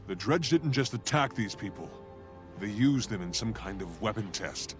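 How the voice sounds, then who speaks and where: An actor playing a big, steady warrior hero in a fantasy video game, speaking in an earnest, measured, plain way. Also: male voice, lowish voice, natural voice. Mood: grave. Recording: game music behind the voice.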